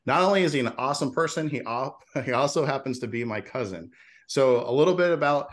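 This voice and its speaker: A man's voice: mid-range voice, lively intonation, quick pace. Chatty male podcast host in his forties. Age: 40s. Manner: friendly, chatty, laughs easily. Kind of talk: casual interview hosting.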